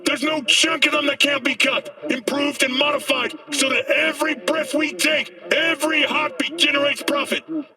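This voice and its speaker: A man's voice: very high-pitched, steady tone, distant mic, music in the background. A man playing a fiery, paranoid anti-corporate street prophet in a video game, haranguing people in a declamatory protest rant. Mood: alarmed, accusing. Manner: declamatory, haranguing, drawn-out emphasis. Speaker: street agitator, conspiracy preacher. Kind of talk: ranting protest speech, video game acting.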